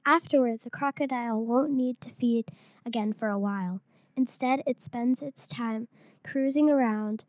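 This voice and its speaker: Halting young schoolgirl reader, high and dry. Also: darkish tone, dry recording. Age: child, around eight or nine. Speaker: third-grade pupil reading aloud. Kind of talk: reading a picture book aloud.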